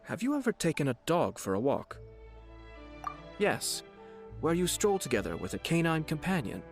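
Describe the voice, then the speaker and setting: Game role: courteous young aristocrat, composed and formal with a playful sly streak, lively intonation, clear music underneath. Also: male voice, a little high, moderate pace. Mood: amused, courteous. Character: refined, courteous young nobleman, sly and teasing. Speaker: video game character voice actor. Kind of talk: scripted game character voice lines. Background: background music.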